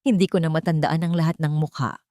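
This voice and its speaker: Woman playing a soft-spoken motherly older woman. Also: low voice, fairly even intonation, fast talker, no echo, studio quality, natural voice. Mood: calm, evasive. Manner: hushed, urgent when worried. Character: kindly older eatery woman, motherly, guarded, protective.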